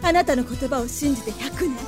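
Woman as a high-voiced, proud, jealous succubus. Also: brisk, natural voice. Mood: bitter, hurt. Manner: haughty, intense, emotional. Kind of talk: anime-style audio drama acting. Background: music.

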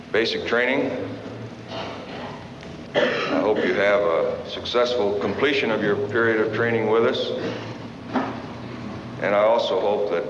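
A low-pitched American man speaks formally as a middle-aged army officer welcoming trainees. His voice rings through a large hall, on a dull recording with clear background noise. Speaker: army officer addressing recruits. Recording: muffled, noisy, echoey archival hall recording.

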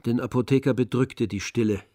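A man narrating a literary German radio play in a measured, restrained, sober way. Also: medium pitch, fairly even intonation, warm tone, no echo, clean studio sound, natural voice.

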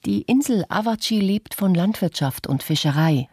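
A woman voicing an adult artist who recounts memories in a measured, earnest way, as scripted radio documentary narration. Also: mid-range voice, expressive, a little mellow, slightly rough, no room sound, clean studio sound. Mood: sober, reflective.

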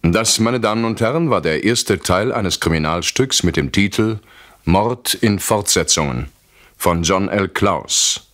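A man's voice: sits low, lively intonation, a little slow, fairly bright tone, dry recording, clean studio sound. A man giving formal, scripted announcements as a radio announcer for a drama.

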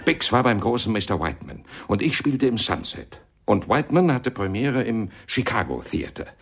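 A man acting in a German radio play as a hesitant fellow trying out a jazzman's reminiscences, with a gravelly, husky voice. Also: fairly low pitch, very animated, full character voice. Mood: nostalgic. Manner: halting, unsure, breaking off to ask for approval.

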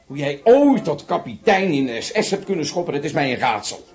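In this radio play, a stern colonel who scolds a subordinate with contempt. His voice sits fairly high and rises and falls a lot as he dresses him down. Music sounds faintly behind him. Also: big pitch swings, brisk, a little mellow. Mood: reproachful. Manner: scolding, reproachful. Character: stern, contemptuous male colonel, middle-aged. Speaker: senior camp officer. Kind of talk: radio drama acting.

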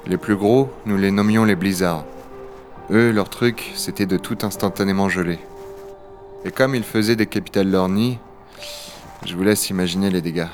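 Man acting as low-voiced rugged dragon hunter. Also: moderate pace, pauses often, darkish tone, faint music behind, close to an everyday voice. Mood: grave, reflective. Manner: gravely reflective narration, familiar offhand banter. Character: rugged, wry male dragon hunter in a frozen future. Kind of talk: French audio-fiction acting and first-person narration.

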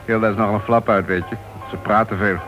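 An actor playing a reserved, wary ex-convict turned author in a Dutch radio play. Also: male voice, lowish voice, fairly even intonation, fast talker, dull audio, over music, close to an everyday voice. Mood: reserved, curious.

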